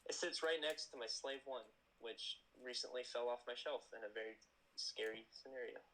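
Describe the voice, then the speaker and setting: A young man in his late teens, a guest who works as an animator, rambling through stories in a casual, excited chat. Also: mid-range voice, normal speed, rough recording.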